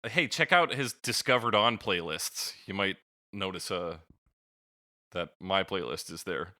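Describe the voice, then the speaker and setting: Guy podcast host talking music, lowish voice, really bright and punchy, super dry sound, casual n sweary. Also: unhurried, dry recording. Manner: informal, freely swearing. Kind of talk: casual chat reviewing songs.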